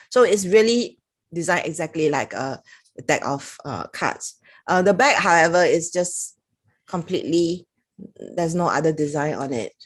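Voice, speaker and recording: Singaporean-accented woman, lower register, relaxed talky reviewer. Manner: chatty, reads passages aloud with little laughs. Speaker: product reviewer. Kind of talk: casual talk-to-camera review.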